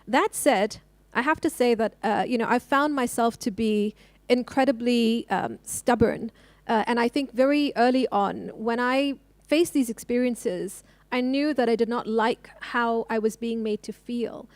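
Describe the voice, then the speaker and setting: A woman from Hong Kong who works as a lawyer speaks on a discussion panel, pausing often with fillers as she thinks. Her voice sits a little high. The sound is dry and close. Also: dry recording. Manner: thoughtful, with many fillers and restarts.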